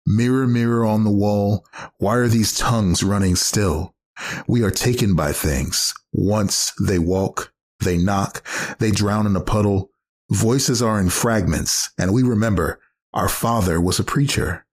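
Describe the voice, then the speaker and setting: A man giving a dramatic poetry recitation with measured, deliberate phrasing in short lines. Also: on the low side, slight background noise. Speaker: poetry reader.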